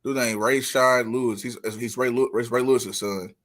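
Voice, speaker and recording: A man hosting a casual podcast interview, speaking in informal slang with halting restarts. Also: mid-range voice, fairly even intonation, a bit bright. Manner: informal slang, halting restarts, "you know what I'm saying". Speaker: podcast host.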